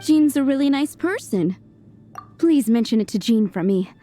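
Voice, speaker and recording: A woman's voice: a little high, animated, moderate pace, mellow, faint music behind, natural voice. A woman playing a breezy, unabashed townswoman in a video game, talking casually. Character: breezy, imposing townswoman worried about pet.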